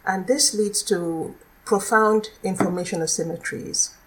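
A middle-aged woman with a Nigerian accent, a law lecturer explaining her work in a measured, articulate way as a podcast guest. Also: medium pitch, fairly even intonation, low-quality recording. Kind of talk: podcast interview.

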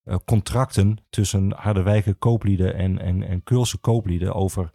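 A man explaining things as a guest in an interview, in a halting manner with many pauses. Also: sits low, steady tone, gravelly, dark tone, no room sound, clean studio sound. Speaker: regional archivist, podcast guest.

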